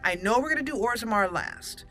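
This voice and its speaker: A woman's voice: on the high side, lively intonation, brisk, fairly bright tone. A woman hosting a video game let's play with casual commentary.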